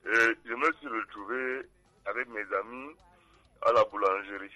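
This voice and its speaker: A man's voice: on the low side, moderate pace, bright, forceful tone, no room sound, faint music behind. A man in his forties calling into a radio show, telling a story with laughter. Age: 40s. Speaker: caller. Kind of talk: phone-in storytelling. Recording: tinny phone line.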